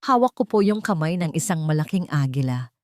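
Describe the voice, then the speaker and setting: A woman with a Filipino accent voicing a timid, innocent, excited young child in a narrated story. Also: sits low, fairly quick, no room sound, studio quality. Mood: excited wonder. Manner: polite, innocent.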